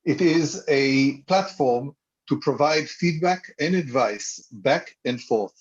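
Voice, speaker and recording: A middle-aged man from Israel, co-chair of an advisory council, giving formal remarks in a measured, deliberate way over an online call. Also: fairly high pitch, lively intonation, highs cut off. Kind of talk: formal remarks and prayer reading.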